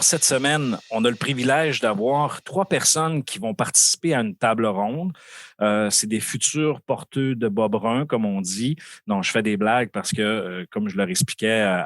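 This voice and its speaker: Québécois guy hosting a podcast, kinda low voice, lots of euh and restarts. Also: normal speed, no room sound, clean studio sound. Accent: Québécois French. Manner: hesitant, many fillers and repeated words, joking. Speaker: podcast host. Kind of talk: casual round-table hosting.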